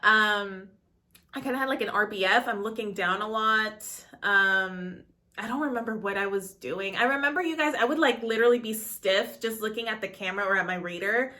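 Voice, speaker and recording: A woman's voice: mid-range voice, normal speed, bright, forceful tone. A woman in her late twenties, an actor, chatting nervously and rambling with many fillers in a casual reaction vlog.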